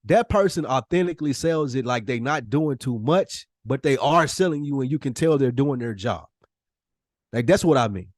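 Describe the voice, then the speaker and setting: A man's voice: medium pitch, lively intonation, moderate pace, no echo, clean studio sound. An American man co-hosting a podcast in casual debate, emphatic and insistent, repeating his points with slang and swearing. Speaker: podcast co-host. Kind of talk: casual debate between friends.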